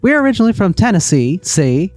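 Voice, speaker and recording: A chatty middle-aged tourist wife, played by a man, high and very animated in pitch. She gushes in folksy phrases, loud and oblivious, in a read-aloud story over music. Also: quick pace, no room sound. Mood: gushing. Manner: gushing, folksy Southern-style phrasing, oblivious.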